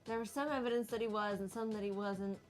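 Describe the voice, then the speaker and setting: A soft-spoken woman chatting casually over a video game, giggling often and stumbling over her words. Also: medium pitch, steady tone, fast talker, faint music behind. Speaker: co-host of a gameplay show. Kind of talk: casual chat over gameplay.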